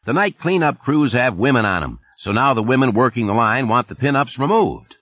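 A man's voice: mid-range voice, expressive, brisk, dry recording, pitched above the performer's own voice, close to an everyday voice. A man reading an audiobook, putting on a pushy, folksy Texan plant supervisor with a twang. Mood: needling. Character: brash drawling Texan male plant supervisor. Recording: dull lo-fi audiobook recording.

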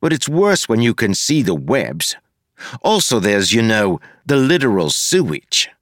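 A man doing a crude, grumbling young constable who complains in rough, folksy speech. His pitch rises above the reader's own and swings about. The sound is close and dry. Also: big pitch swings, a little slow, fairly bright tone, no echo.

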